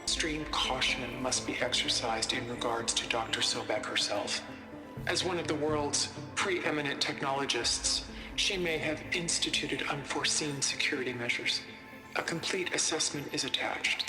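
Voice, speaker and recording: A performer playing a cool corporate briefing narrator in a video game, clipped, official and measured, in an echoey playback. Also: medium pitch, natural voice. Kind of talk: formal scripted briefing narration. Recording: echoey playback, music underneath.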